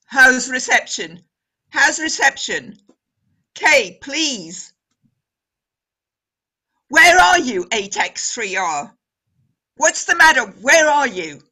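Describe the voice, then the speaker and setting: Woman as a bright, high-pitched anxious radio operator. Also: very animated, takes it slowly, long pauses, full character voice. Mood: concerned calling. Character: anxious lone radio operator calling for any reply. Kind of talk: radio drama acting, call-sign transmissions.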